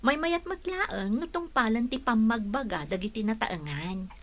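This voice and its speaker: Woman playing young caregiver, clear forward tone, slightly high, dutiful, concerned, radio play. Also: expressive, normal speed, a bit bright, slight background noise. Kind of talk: Ilocano radio drama acting.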